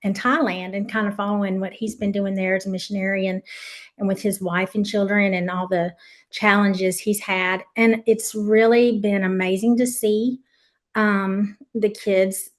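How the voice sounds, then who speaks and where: A woman's voice: mid-range voice, little pitch movement, moderate pace. A woman from Tennessee, a former camp leader, reminiscing as a podcast guest in an earnest, rambling way with frequent ums and restarts. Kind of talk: reminiscing interview.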